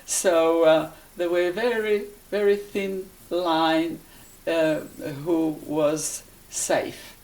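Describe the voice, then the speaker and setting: An elderly woman from Poland with a Polish accent, recalling her life in a halting, deliberate way as she is interviewed. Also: low voice, steady tone, darkish tone, rough recording. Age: 80s or older. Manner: halting, deliberate, searching for words. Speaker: interviewee, life-story witness. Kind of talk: personal reminiscence in an interview.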